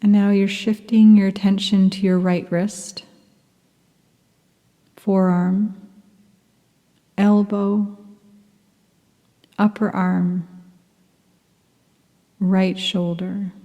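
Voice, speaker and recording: A woman guiding a yoga nidra meditation, speaking softly and soothingly, sometimes dropping into a half-whisper. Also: medium pitch, little pitch movement, in a large hall. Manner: soft, slow, soothing, half-whispered at times. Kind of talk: guided meditation.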